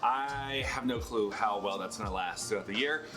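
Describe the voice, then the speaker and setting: A man's voice: fairly low pitch, normal speed. A man in his thirties talking casually to the camera in a home office, rambling and correcting himself as he goes. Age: 30s. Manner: rambling, filler-heavy and self-correcting. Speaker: business vlogger. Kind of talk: casual talking-head vlog. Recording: echoey room with light music. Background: heater humming.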